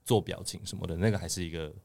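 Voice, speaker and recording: Fast-talking, deep-voiced young Taiwanese man. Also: steady tone, creaky, darkish tone. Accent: Taiwanese Mandarin. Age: young adult. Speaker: guest, idol trainee. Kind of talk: casual interview chat.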